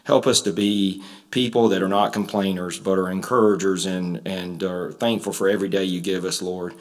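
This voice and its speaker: A low-voiced man leads prayer in church, his voice a little hoarse. He talks in a plain, folksy way, pausing with ums and uhs. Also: fairly even intonation. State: slightly hoarse. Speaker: church member leading prayer. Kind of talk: spoken prayer and announcements. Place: church sanctuary.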